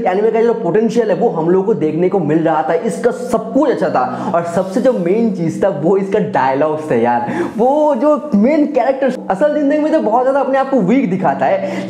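A man's voice: sits high, mellow. A young Indian man giving casual, enthusiastic anime commentary for online viewers, often repeating phrases for emphasis. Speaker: YouTube anime reviewer. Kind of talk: casual video commentary addressed to friends. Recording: roomy echoey sound, faint music behind. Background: faint background music.

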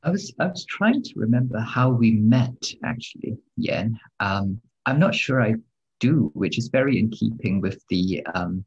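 A man translator recalling a first meeting in a wry, hesitant way, with many pauses and ums. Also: lowish voice, lively intonation, slightly rough, lo-fi sound. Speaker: translator guest. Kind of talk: casual conversation.

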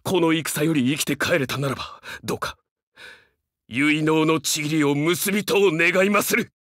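A man's voice: a little high, moderate pace, a bit bright, dry recording, clean studio sound, natural voice. A man voicing a young, fiercely loyal dog-man samurai in a Japanese game, speaking earnestly in formal, archaic warrior speech with dramatic delivery. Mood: tender, devoted.

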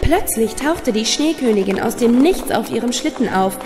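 A woman's voice: on the high side, expressive, moderate pace. A woman storyteller reading a scripted fairy tale for children. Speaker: storyteller, narrator. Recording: long reverb, music underneath. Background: music.

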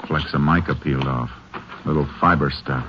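A man's voice: very deep voice, fairly even intonation, moderate pace. A man acting a stern, laconic Texas Ranger in a radio western, with a dry, clipped, authoritative delivery. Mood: intent. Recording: muffled, hissy old radio recording.

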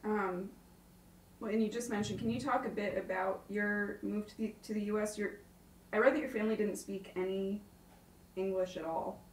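A woman's voice: mid-range voice, steady tone, normal speed, reverberant. A woman asking casual interview questions from off camera, away from the microphone. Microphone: off camera, away from mic. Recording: distant, off-mic interviewer.

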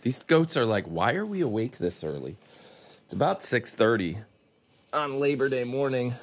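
A man in his forties vlogging casually while doing morning chores in a goat barn, rambling to his viewers, filmed on a handheld camera at arm's length. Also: lowish voice. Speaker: farm vlogger. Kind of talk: casual vlog chat. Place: goat barn and farmyard outdoors.

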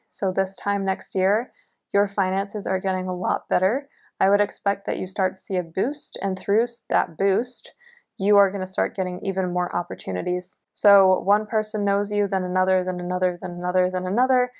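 A woman's voice: medium pitch, darkish tone. Woman, young, tarot reading, encouraging, slightly worn voice, indoors at a table. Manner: earnest, encouraging, lots of fillers. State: losing her voice. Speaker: tarot reader. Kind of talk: pick-a-card tarot reading. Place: indoors at a table, only hands seen.

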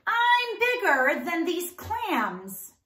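Woman as proud picture-book giant squid, childlike braggart, high and swooping, drawn-out delivery in a roomy space. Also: big pitch swings, pushed and bright, exaggerated voice. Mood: boastful.

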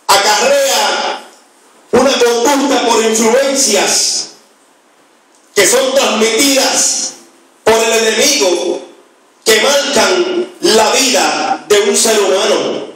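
High-pitched man preaching in a booming echo. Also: takes it slowly, long pauses, a bit bright. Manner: emphatic, repeats phrases for effect. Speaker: evangelist preacher. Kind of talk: sermon. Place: small church with hard walls, indoors. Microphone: handheld mic through PA, recorded by phone from afar. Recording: very echoey hall, distant phone recording, music.

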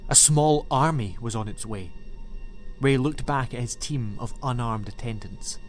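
A man's voice: medium pitch, a little slow. A man reading scripted, dramatic story narration as an audiobook narrator. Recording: music bed under narration. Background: music.

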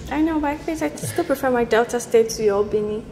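A woman's voice: fairly high pitch, quick pace, mellow, slight background noise. A young Nigerian woman in her twenties on a blind date, speaking in a blunt, teasing and direct way. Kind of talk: casual conversation. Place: indoor restaurant with large windows. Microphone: lapel mic.

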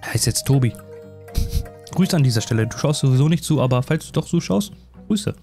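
A man's voice: mid-range voice, expressive, fairly quick, dark tone, soft background music. A man doing casual, rambling let's play commentary in a self-deprecating, chatty way. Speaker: gaming YouTuber.

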